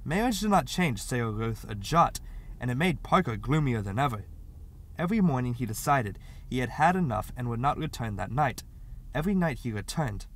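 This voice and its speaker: A man narrating a short story as a scripted audiobook reading. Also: mid-range voice.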